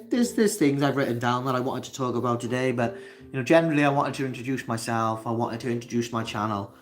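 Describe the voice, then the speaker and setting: A man with a Welsh accent from Wales, hosting a solo livestream, talking earnestly with frequent hesitations and restarts. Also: medium pitch. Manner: earnest, halting, many fillers and restarts. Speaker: channel host. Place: indoors, seated facing camera.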